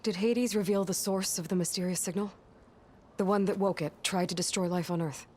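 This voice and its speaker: An actress playing a determined, suspicious young outcast warrior in a video game, with a dry, blunt, sarcastic and guarded delivery. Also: female voice, medium pitch, fairly even intonation, fairly quick, slight background noise, natural voice. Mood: pressing, skeptical. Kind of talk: video game cutscene acting.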